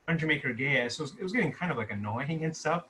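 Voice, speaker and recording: A man's voice: on the high side, lively intonation, very fast, sharp tone, a little hiss or hum. A man talking casually as a writer explaining where a script came from. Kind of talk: casual chat.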